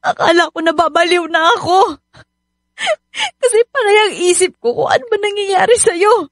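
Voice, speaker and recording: A woman's voice: extremely high pitch, expressive, normal speed, fairly bright tone, no room sound, studio quality, exaggerated voice. A woman playing a hardworking, nagging and hurt wife and mother in a Filipino radio drama, emotional and scolding. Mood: anguished. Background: background music.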